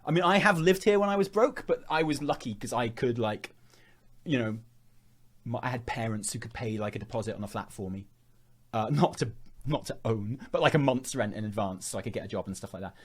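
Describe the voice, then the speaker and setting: A man's voice: mid-range voice, fairly quick. A young man hosting his channel, talking casually on a livestream, rambling and joking with many restarts. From England. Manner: rambling, joking, self-interrupting. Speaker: channel host.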